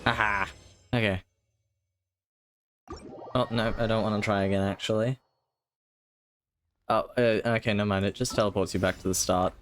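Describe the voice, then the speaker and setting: A man's voice: lowish voice, steady tone, normal speed, pauses often, fairly bright tone, a little hiss or hum. A man doing casual live game commentary, muttering short playful reactions as he plays. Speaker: video game streamer. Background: game music.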